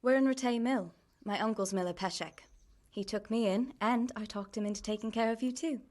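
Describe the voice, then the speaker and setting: A woman acting a caring, world-weary young miller's niece in a medieval video game drama, plain-spoken and gently chiding. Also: a little high, brisk, lightly acted. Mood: brisk, wry.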